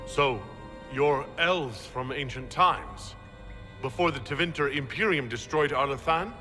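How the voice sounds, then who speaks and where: A forceful, resolute fantasy hero. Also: male voice, medium pitch, a little slow. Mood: measured, questioning. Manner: firm, direct, earnest. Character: resolute, diplomatic male military leader, fantasy hero. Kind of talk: dramatic video game acting. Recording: long hall reverb, music underneath.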